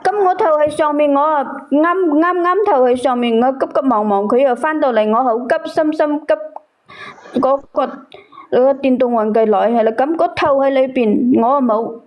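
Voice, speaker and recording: A woman calling in. She explains herself in a defensive, halting way, circling back over the same phrases in casual speech. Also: sits high, a little mellow. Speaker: caller.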